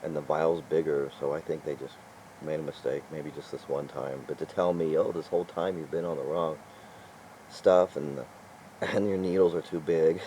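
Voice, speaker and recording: Older guy, real low warm voice, rambling vlog talk, kinda fed up, chuckles a lot. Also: steady tone, normal speed, slight background noise, poor audio. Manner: exasperated, chuckling, meandering. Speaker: vlogger.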